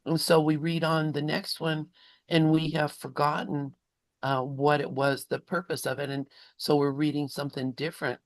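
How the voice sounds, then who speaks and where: A man in a spiritual study group, reflecting informally and haltingly as he thinks aloud. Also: a little high, level tone, no room sound.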